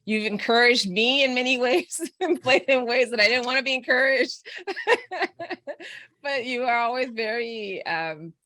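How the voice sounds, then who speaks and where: A woman's voice: on the high side, lively intonation, unhurried, no echo. Laughing, encouraging woman podcast host. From Texas, USA. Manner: warm, laughs often, encouraging. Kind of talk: casual interview chat.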